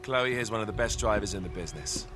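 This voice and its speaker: An actor playing a cocky, smooth-talking British thief in his thirties, breezy and persuasive, in a video game. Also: lowish voice, expressive, fast talker, natural voice. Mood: breezy, confident. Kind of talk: cinematic video game acting. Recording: music under the dialogue. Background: background music.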